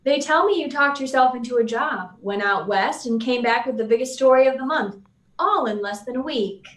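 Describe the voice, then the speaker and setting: A fairly high female voice from an actress playing a brash young reporter in an old radio serial. She is sardonic and needling, with clear room echo around a slightly distant voice. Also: normal speed, natural voice. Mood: sarcastic, skeptical. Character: brash, sarcastic young woman newspaper reporter. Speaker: actor in a live radio play. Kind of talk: 1940s radio serial acting. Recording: roomy, echoing, off the mic.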